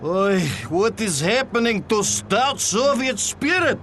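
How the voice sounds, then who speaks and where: A comically earnest Soviet courier with a thick Russian accent and mangled grammar. His voice sits high and bounces around in pitch, at an unhurried pace. It is broad video game character acting. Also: lively intonation, a bit bright, soft background music, heavily acted. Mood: earnest, indignant. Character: cheerful, gullible male Soviet KGB courier, broken English.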